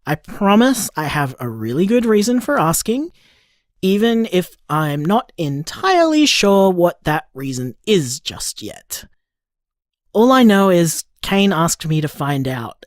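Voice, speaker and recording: A woman's voice: fairly low pitch, a little mellow, no room sound, clean studio sound. A woman acting a curious, bemused partner leaving wry, casual voicemails in an audio drama.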